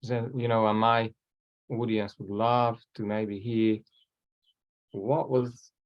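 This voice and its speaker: A male podcast host speaks very slowly in a lowish voice, asking reflective questions with long pauses. The recording sounds dull and muffled, like a lo-fi mic. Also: little pitch movement. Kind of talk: interview questions.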